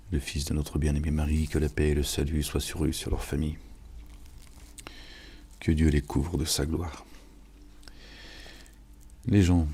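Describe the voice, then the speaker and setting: Very deep, husky-voiced man preaching informally. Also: dark tone. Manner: preachy, addresses listeners familiarly with repeated check-in questions. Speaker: online religious and political commentator. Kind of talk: informal sermon-like monologue reflecting on scripture.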